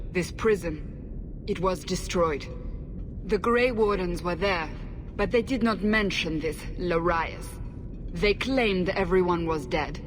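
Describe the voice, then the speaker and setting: An actress playing a stern, sceptical female interrogator in a fantasy game, measured and pointed in manner. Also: mid-range voice, natural voice. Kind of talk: fantasy game cutscene acting. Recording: noisy background ambience.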